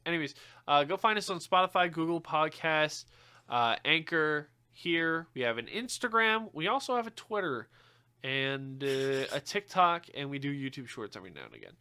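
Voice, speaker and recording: A man's voice: sing-song, normal speed, bright, forceful tone, clear, resonant tone. A young man co-hosting a podcast in casual, profane chat, joking and swearing. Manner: swearing, joking, with sudden high-pitched outbursts. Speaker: podcast co-host.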